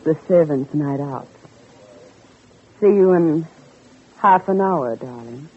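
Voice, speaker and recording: An actress playing an insistent, scheming married socialite in a 1940s radio drama, on a muffled vintage recording. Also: female voice, sits low, expressive, slow, breathy edge, full character voice. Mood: coaxing, insistent. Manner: coaxing with lovers, sharp and scolding with husband. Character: insistent, scheming married socialite pursuing her former lover.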